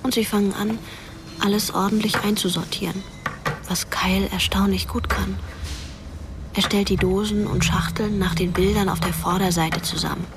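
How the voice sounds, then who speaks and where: A woman playing a wistful, detached teenage ghost, narrating a radio play softly and in a hushed manner. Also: mid-range voice, steady tone, moderate pace, background noise, lightly acted.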